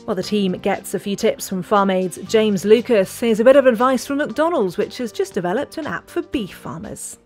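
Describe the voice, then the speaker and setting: A woman's voice: medium pitch, normal speed, few pauses, darkish tone, faint music behind. A woman narrating a reality competition in a light, conversational tone. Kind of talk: scripted narration.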